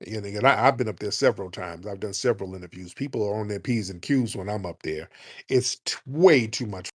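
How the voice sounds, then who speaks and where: A man with a fairly low voice hosts a call-in show. He talks bluntly and with heat, swears freely, and keeps a loose, animated rhythm. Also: a little fast. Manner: blunt, profane and animated, mocking others in a high put-on voice. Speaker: host.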